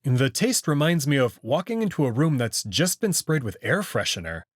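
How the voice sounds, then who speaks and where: A man's voice: on the high side, very animated, normal speed, dry recording, clean studio sound. A man narrating a visual novel as the series host, reading scripted storytelling prose.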